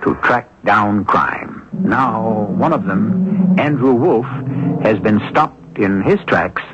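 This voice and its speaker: A man hosting a radio mystery, narrating from a script in a deliberate, theatrical way with rhetorical questions. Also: lowish voice, fairly bright tone, slight background noise. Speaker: radio drama host. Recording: muffled lo-fi old radio broadcast. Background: music.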